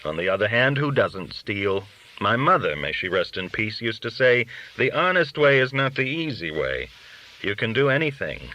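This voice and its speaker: A man's voice: fairly low pitch, very animated, moderate pace, bright, forceful tone, low-quality recording. A man reading an audiobook, voicing a worldly, cynical, boastful underworld dealer who talks in glib, argumentative rhetorical questions. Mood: glib, breezy. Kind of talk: audiobook character dialogue.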